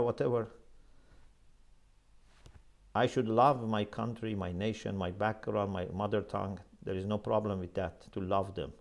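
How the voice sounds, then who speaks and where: A man's voice: on the low side, vocal fry. A middle-aged man gives a religious lecture in an earnest, emphatic manner, speaking into a lapel mic in a small office. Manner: earnest, emphatic, gestures with his hands. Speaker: Islamic scholar lecturing.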